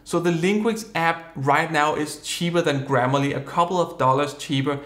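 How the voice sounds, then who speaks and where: A man, explaining a software product in a plain, upbeat review style. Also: on the high side, moderate pace, roomy sound. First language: Danish. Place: studio room with purple backdrop.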